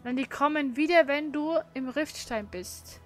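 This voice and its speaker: A woman's voice: high voice, unhurried, a bit bright, faint music behind. A woman chatting casually as co-commentator while someone plays a video game. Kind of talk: casual chat during gameplay.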